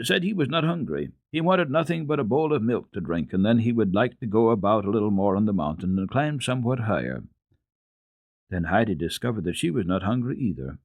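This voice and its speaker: A man with a fairly low voice narrates a children's classic in the manner of a radio storyteller. His delivery is measured and expressive, and the sound is close and dry, with very little room. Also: no echo. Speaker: storyteller, radio program host. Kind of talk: dramatic reading of a children's book.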